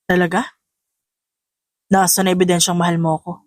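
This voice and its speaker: Woman playing a lovestruck man, pitched down low. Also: little pitch movement, brisk, no room sound, studio quality, lightly acted. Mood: playful, flirty.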